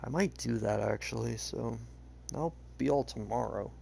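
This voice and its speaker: A young man chatting casually while he plays a game, rambling with lots of fillers, his voice a bit hoarse. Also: medium pitch, moderate pace, mellow, slight background noise. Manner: rambling, hesitant, many fillers. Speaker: streamer. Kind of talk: casual gameplay chat.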